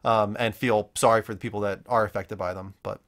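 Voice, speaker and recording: A man's voice: fairly low pitch, fairly even intonation, fairly quick, fairly bright tone. A man hosting a casual gaming podcast, talking through his opinions conversationally. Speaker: podcast co-host.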